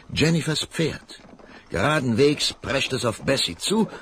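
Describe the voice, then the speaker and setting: A man's voice: medium pitch, fairly bright tone, slight background noise. A man narrating a children's radio play, telling the story vividly and dramatically.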